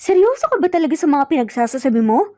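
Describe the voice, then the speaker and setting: A woman's voice: sits high, very animated, fast talker, mellow, no room sound, clean studio sound. A woman voicing a stubborn, hurt young wife with a curt manner in an audio romance. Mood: exasperated. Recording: muffled lo-fi audio, music bed behind.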